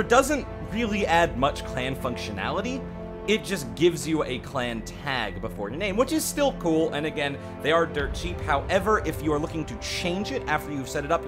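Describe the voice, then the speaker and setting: Lively man explaining a game update. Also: medium pitch. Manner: conversational, explanatory, self-correcting. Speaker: video game commentator. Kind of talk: unscripted update walkthrough commentary. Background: background music.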